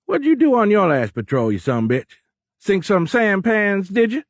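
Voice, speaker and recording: Gruff Cajun submarine captain, raised pitch, loud, domineering, folksy drawl, war novel. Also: male voice, sing-song, normal speed, no room sound, clean studio sound, exaggerated voice. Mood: needling. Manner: folksy, blustering, colloquial drawl.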